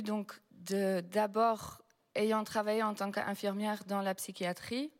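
A woman who is not a native French speaker, a researcher speaking as a panel guest, explaining at a measured pace with frequent hesitations. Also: mid-range voice. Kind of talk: public panel talk. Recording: long hall reverb.

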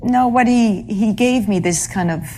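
A woman being interviewed about her acting career, speaking haltingly and often pausing to search for the right English word. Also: fairly high pitch, mellow, a little hiss or hum. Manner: halting, searches for English words, many fillers. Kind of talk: reflective interview answers.

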